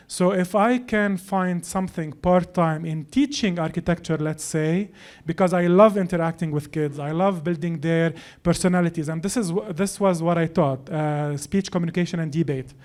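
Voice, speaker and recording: Lebanese-born chef, bright high voice. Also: male voice, moderate pace. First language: French. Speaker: guest chef. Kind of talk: casual interview chat.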